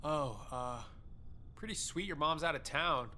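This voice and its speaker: A man playing an awkward, cocky slacker teenage boy in a game, with a halting, casual, bro-like manner. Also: a little high, pushed and bright, slight background noise. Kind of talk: scripted visual novel dialogue.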